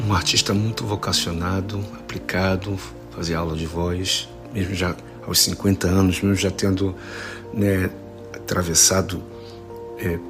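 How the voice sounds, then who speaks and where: A low, gravelly male voice with a Carioca accent, a man in his forties recalling a friend in a recorded audio message. He speaks haltingly, restarting phrases, with music playing clearly underneath. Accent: Carioca Brazilian Portuguese. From Rio de Janeiro, Brazil. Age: 40s. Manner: halting, many restarts, emotional and rising in pitch. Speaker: actor sending a tribute message.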